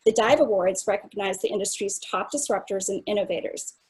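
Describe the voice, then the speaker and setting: A woman hosts the event and reads a formal introduction for the guest speaker, upbeat as she builds to the handoff. Her voice is a little breathy and husky, at an ordinary pitch. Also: brisk, darkish tone, low-quality recording. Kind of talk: reading an introduction.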